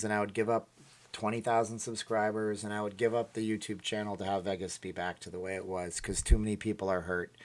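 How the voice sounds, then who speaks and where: A tired man hosting a casual livestream, reading out viewer comments and rambling emotionally. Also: lowish voice. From Minnesota. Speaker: livestream host.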